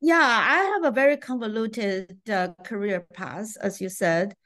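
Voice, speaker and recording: Middle-aged woman from Taiwan, scientist guest on a video call, slow and measured, reflective. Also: medium pitch, fairly bright tone. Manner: measured, thoughtful with frequent ums. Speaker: neuroscientist guest. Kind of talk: interview over video call. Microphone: video call webcam.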